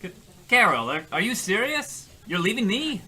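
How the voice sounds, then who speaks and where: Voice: mid-range voice, big pitch swings, normal speed, sharp tone. An actor playing a dull, hapless rejected suitor, rambling and whining awkwardly in an old-time radio comedy style. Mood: pleading.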